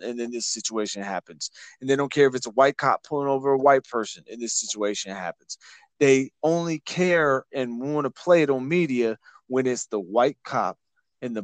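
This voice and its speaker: A man's voice: mid-range voice, dry recording. A man with a mid-range voice who talks bluntly and stumbles over repeated words. He swears freely in a loose podcast chat. The sound is dry and close to the mic. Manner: blunt, repetitive, profane. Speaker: podcast host.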